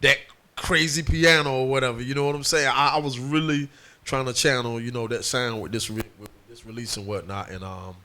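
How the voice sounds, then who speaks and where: A man's voice: mid-range voice, steady tone, a little fast, bright, forceful tone. A man, a music producer, talking in a laid-back casual interview and often tagging "and whatnot".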